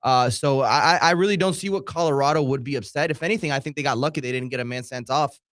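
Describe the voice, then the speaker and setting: A man co-hosting as a soccer analyst, explaining his opinions on matches in a measured, conversational way. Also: mid-range voice, a bit bright, no room sound, clean studio sound. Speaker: soccer analyst co-host, former college player.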